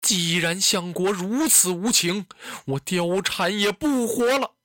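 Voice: mid-range voice, takes it slowly, a bit bright, dry recording, clean studio sound. Performer voicing a tearful, indignant young concubine. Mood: tearful indignation. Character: distressed young beauty, a cunning tearful concubine.

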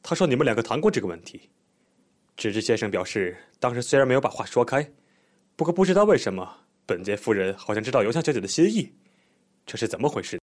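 An actor playing a composed male police detective in an audio drama, explaining things in a measured, methodical, polite way. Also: mid-range voice, very animated. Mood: polite, probing. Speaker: police investigator questioning a witness.

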